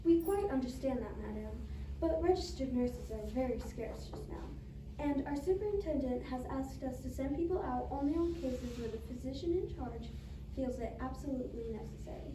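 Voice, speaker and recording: A woman's voice: high voice, expressive, moderate pace. A woman acting a polite, matter-of-fact telephone clerk in an amateur radio play, with formal, courteous delivery. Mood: polite, detached. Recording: echoey, distant microphone with faint noise.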